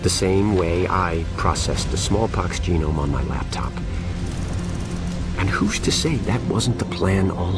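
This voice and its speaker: An actor playing a calm, fanatical virus creator who lectures smugly in a recorded message from a video game. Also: male voice, low-pitched, exaggerated voice. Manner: smug, calmly lecturing, menacing.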